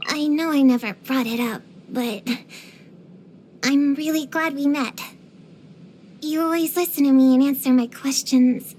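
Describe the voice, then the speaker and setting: A woman's voice: sits high, unhurried, long pauses, a little mellow, slight background noise. A woman voicing an earnest, bookish young girl witch hunter in a video game, precocious, proud and slightly dramatic. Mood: sincere, awkward. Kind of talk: scripted game voice lines.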